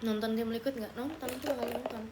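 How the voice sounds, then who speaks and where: A woman's voice: on the high side, fast, very noisy. A young woman from Papua, Indonesia, chatting casually on a livestream, answering viewers and slipping English phrases into her talk. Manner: relaxed, chatty, answers questions as she reads them. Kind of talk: casual live chat reading viewer comments.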